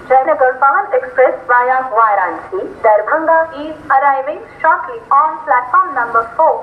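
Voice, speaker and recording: A woman with an Indian accent making formal, measured railway station announcements in a formulaic, word-by-word delivery. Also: high-pitched, slight background noise. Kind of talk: formulaic station announcement, repeated in several languages. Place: railway station, over loudspeakers. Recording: echoey, tinny public-address loudspeaker.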